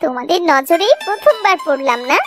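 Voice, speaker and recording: A woman's voice: very high-pitched, lively intonation, a little fast. A woman voicing a dutiful, earnest young woman of the household in a cartoon family drama. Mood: eager, caring. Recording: loud music behind the voice. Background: music.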